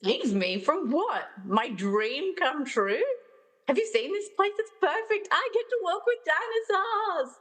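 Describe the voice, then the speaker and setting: A woman's voice: extremely high pitch, very animated, a little slow, a bit bright, in a large hall, heavily acted. A woman playing a carefree, starry-eyed adventurer in a radio play, dreamy and gushing. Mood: amused, enraptured. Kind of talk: amateur radio-play acting.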